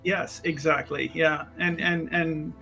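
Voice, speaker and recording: A man's voice: on the high side, steady tone, slow pace, vocal fry, pushed and bright, rough recording. A man improvising in-character banter at a tabletop roleplaying game, stammering and repeating himself. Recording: music behind the voice. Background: background music.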